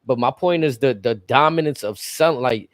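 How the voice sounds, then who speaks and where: A man's voice: mid-range voice, normal speed, no echo, clean studio sound. A man in casual podcast chat, blunt and profane, arguing his points. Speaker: podcast co-host.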